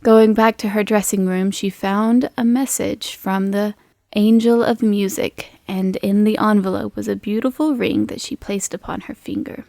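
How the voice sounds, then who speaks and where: A woman's voice: medium pitch, steady tone, normal speed, vocal fry, darkish tone, no room sound, clean studio sound, lightly acted. A woman playing a slangy, dramatic cryptid podcast host, narrating a scripted recap. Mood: chatty, dramatic. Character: chatty storyteller cryptid podcast host named Gaston Leroux, boyish, theatrical.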